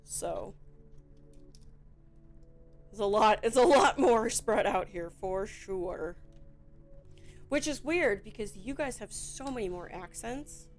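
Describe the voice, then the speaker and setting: A Midwestern woman with a Chicago accent, chatting in a rambling, relaxed way while she builds a toy set on stream. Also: mid-range voice, faint music behind. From Midwestern United States. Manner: chatty, rambling, slow and drawn out, with frequent fillers.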